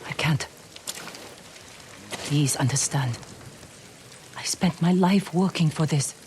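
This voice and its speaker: Low, weary, guarded woman. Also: slow pace, darkish tone, slight background noise. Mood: weary, regretful. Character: weary, guarded woman thief seeking new life. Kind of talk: dramatic video game acting.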